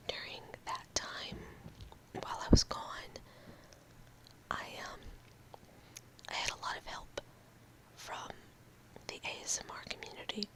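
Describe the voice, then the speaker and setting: A young woman in her room, whispering slowly and softly into a close mic in a casual ASMR ramble, her voice a little odd after a cold. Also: rough recording. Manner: slow, halting, talks with fillers and small laughs. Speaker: ASMR video creator.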